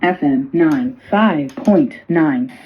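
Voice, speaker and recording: A man's voice: on the high side, lively intonation, extremely slow, a little hiss or hum. A synthetic male voice reading out numbers as a device announcer, clipped and mechanical. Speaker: radio's spoken tuning announcer. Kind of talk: synthetic readout of frequencies. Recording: dull small device speaker, lo-fi.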